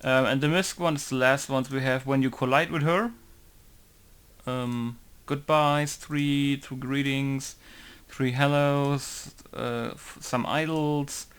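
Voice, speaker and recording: A man's voice: mid-range voice, fairly even intonation, takes it slowly, a bit bright, clean tone. A young man casually talking through a game modding tutorial, rambling with many fillers and self-corrections. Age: young adult. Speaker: game modder.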